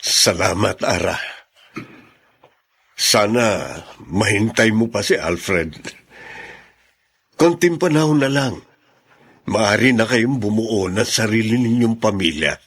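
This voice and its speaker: A man playing a kindly, inquisitive middle-aged father in a Filipino radio drama, gentle and probing. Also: fairly low pitch, lively intonation, slow pace, creaky, long pauses, breathy edge, exaggerated voice. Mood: grateful, earnest.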